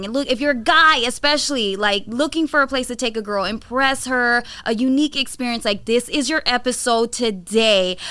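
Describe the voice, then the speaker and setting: A woman from Florida hosting a podcast, talking in an animated, enthusiastic way with many fillers. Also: sits high, clean tone, no echo. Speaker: podcast host. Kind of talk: casual interview chat.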